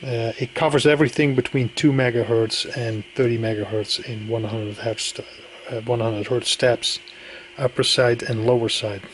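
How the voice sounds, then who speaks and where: Guy casually showing off some gear, medium pitch, kinda halting, bit of noise behind him. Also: steady tone. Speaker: radio enthusiast presenting equipment. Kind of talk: casual hands-on demonstration narration. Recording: clear background noise.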